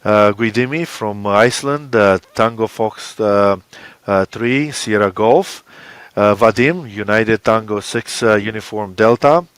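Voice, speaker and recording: Man, lowish pitch, clear resonant tone, hesitant delivery, many filler uhs, interview answers, dry recording. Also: a bit bright, no echo. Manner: halting, hesitant, with very frequent uhs. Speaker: interviewed expedition co-leader. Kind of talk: interview answers, explaining plans.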